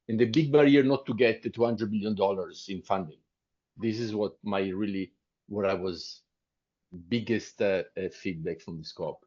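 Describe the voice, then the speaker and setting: A man's voice: fairly low pitch, a little mellow. Hesitant man on a muffled video call. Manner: hesitant, restarting sentences. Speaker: panel participant. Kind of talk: online group discussion.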